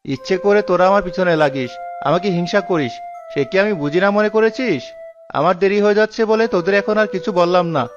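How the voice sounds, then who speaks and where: A man's voice: sits high, fast talker, highs cut off, music in the background, natural voice. A man voicing a stingy, irritable village man in a cartoon, grumbling and defensive. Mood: irritated. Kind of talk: cartoon character voice acting.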